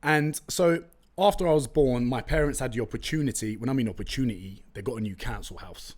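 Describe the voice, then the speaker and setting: A young man with a London accent and Turkish as his first language hosts a podcast on his own. His voice sits at a middle pitch, close on a studio mic. He tells stories in a chatty, slangy, animated way. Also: a little fast. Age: young adult. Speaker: podcast host. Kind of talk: casual solo podcast chat. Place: room with brick walls, indoors. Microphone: close studio condenser mic with pop filter.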